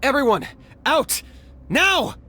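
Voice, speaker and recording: An actor playing a confident, matter-of-fact young hunter in a video game, speaking in clipped lines. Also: male voice, very high voice, sing-song, slow, slight background noise, full character voice. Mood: urgent. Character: calm, terse, confident young male hunter. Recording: loud game music behind.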